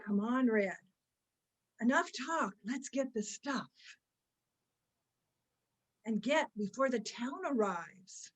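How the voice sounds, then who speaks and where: A woman's voice: a little high, animated, slow, long pauses. A woman in an amateur reader's theater play, acting a gangster's impatient, wounded girlfriend, heard faintly off-mic. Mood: pleading, impatient.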